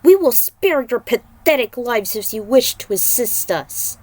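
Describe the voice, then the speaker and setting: A woman voicing a furious, vengeful male warrior in a story, forceful and threatening. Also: a little high, animated, unhurried, voice pushed up.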